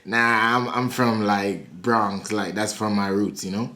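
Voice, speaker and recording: A man's voice: on the low side, a little slow, a bit bright. A man from New York hosting a prank video call, talking playfully in a put-on Jamaican patois. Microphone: phone on a video chat app.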